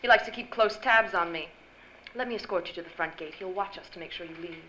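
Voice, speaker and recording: A woman's voice: lowish voice, fast talker, pushed and bright. A woman playing an elegant, confiding rich wife in a radio drama, with poised theatrical delivery. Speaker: actor. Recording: dull noisy live-stage recording.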